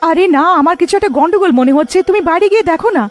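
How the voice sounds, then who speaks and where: Woman playing a very high-voiced anxious village wife. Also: animated, racing, darkish tone. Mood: worried. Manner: pleading, fretful. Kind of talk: cartoon voice acting.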